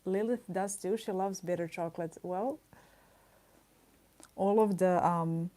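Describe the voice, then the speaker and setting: Low, mellow woman chatting on livestream. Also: measured pace. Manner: playful, mock-indignant teasing of chat; rambling, chatty asides with frequent laughs. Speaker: livestreaming artist. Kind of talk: casual livestream chat while drawing.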